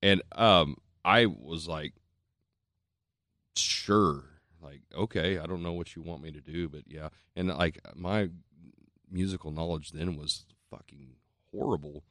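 A man's voice: deep voice, a little slow, a bit bright, no echo, clean studio sound. A man, a musician, telling a rambling, self-mocking story in casual chat, swearing and laughing easily.

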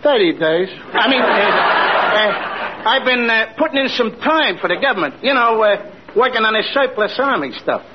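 An easygoing swindler from a 1940s radio comedy, glib and evasive in his slang. The voice is high for a man, on a muffled recording with heavy noise. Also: expressive, moderate pace, a loud crowd. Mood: sly. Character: shifty, easygoing small-time crook, hearty old pal.

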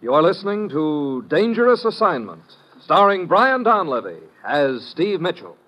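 Casting: male old-time radio announcer, animated, raised pitch, loud music underneath. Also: moderate pace, poor audio.

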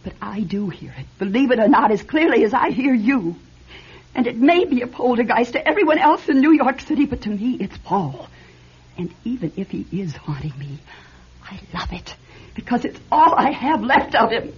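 An actress playing a fragile, grieving widow in classic radio drama, hesitant and haunted, turning insistent. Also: female voice, fairly high pitch, animated, normal speed, natural voice. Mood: wistful, defensive. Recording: dull, noisy old radio recording.

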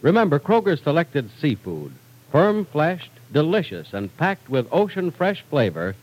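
A man's voice: a little high, big pitch swings, unhurried, darkish tone, low-quality recording. A man announcing in polished, emphatic 1940s radio style, reading scripted narration and sponsor copy.

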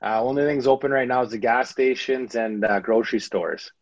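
A man's voice: medium pitch, steady tone, fairly quick, dry recording, dull audio. A man joining a casual podcast as a guest, speaking in an easygoing, laid-back way.

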